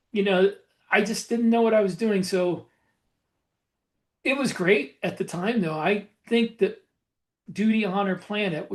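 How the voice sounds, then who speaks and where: Higher-pitched man, halting podcast storyteller. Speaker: podcast host and self-published author. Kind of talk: casual podcast conversation about a writing career.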